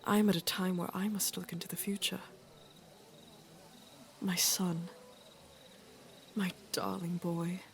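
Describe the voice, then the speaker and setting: A woman playing an aging, regal queen and mother in a fantasy audio drama, speaking in a measured, deliberate and wry way. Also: fairly low pitch, level tone, creaky, a little hiss or hum. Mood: grave, worried. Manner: measured, deliberate, dryly teasing.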